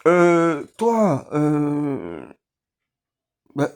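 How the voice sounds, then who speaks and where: A man chatting casually at home, telling a story in a halting, hesitant way. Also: fairly high pitch, wildly swooping pitch. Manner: hesitant, halting, many fillers. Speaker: vlogger.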